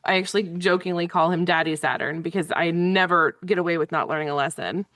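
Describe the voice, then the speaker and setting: A woman's voice: on the low side, steady tone, fast, fairly bright tone, no room sound, clean studio sound. A woman hosting a podcast, talking enthusiastically and chattily with her guest. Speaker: podcast host. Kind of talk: casual conversational interview.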